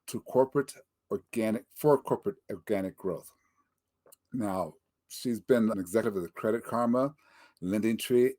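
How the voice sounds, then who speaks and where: A man's voice: lowish voice, darkish tone, no room sound. An older man hosting a casual interview over a video call, chatty and joking. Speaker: interview host. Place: home office in Denver, Colorado.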